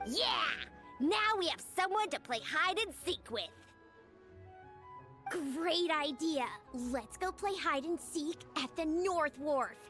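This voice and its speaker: A woman's voice: high voice, expressive, fairly bright tone, exaggerated voice. A woman voicing a cheeky, bossy and playful little girl with a childlike voice in an anime game. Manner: exclaiming, drawn-out words. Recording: music behind the voice.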